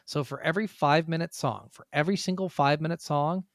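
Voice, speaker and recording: A man's voice: a little high, quick pace, no echo, clean studio sound. A man co-hosting a podcast in casual chat, working through his notes with rambling, sometimes profane asides. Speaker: podcast co-host.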